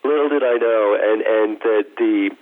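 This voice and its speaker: A man with a croaky voice, chatting casually on a group call and poking fun at himself. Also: on the low side, moderate pace. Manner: chatty, self-mocking, laughs while talking. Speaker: coaching program participant. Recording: tinny phone line.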